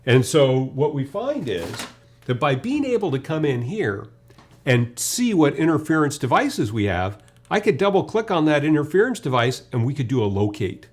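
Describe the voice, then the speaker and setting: A man's voice: on the high side, big pitch swings, normal speed, darkish tone, slightly rough. A man gives an informal technical demonstration as a webinar presenter, talking through each step conversationally. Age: 50s. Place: indoor video studio.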